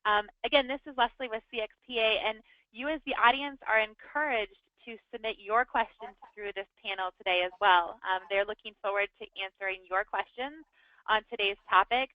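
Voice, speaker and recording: Brisk woman making announcements, lo-fi. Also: medium pitch, dry recording. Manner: brisk, friendly administrative announcements. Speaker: event organizer giving announcements.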